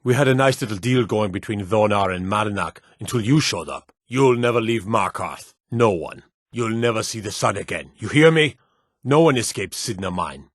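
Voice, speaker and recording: A man's voice: lowish voice, no echo, clean studio sound. An actor playing a stern, menacing and authoritative male city guard in a fantasy video game.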